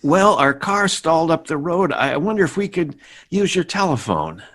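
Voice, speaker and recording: A man's voice: fairly high pitch, sing-song, moderate pace, fairly bright tone. An actor playing a flustered, well-meaning middle-aged father who stammers, in a radio sitcom. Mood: harried. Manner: stammering, harried.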